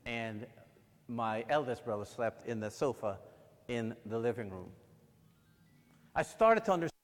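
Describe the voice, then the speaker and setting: A man from Guyana preaching a sermon, moving from quiet personal storytelling to loud, fervent emphasis. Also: medium pitch, animated, unhurried, in a large hall.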